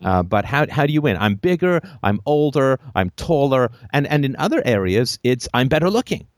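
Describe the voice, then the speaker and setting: A man's voice: medium pitch, moderate pace, no echo, studio quality. Emphatic male call-in show host. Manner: lively, emphatic, repeats phrases for effect.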